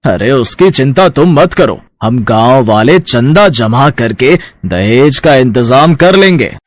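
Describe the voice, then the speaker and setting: A man's voice: mid-range voice, lively intonation, slow pace, no room sound, studio quality. A man voicing a kindly, fatherly village headman in a storybook tale, reassuring in manner.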